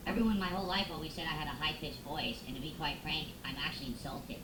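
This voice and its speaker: A man's voice: a little high, fast. A man putting on the voice of a fussy, offended man through a voice changer, speaking in a prissy, over-enunciated way. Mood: affronted. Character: huffy offended man fussing over his own voice. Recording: echoey room with background noise.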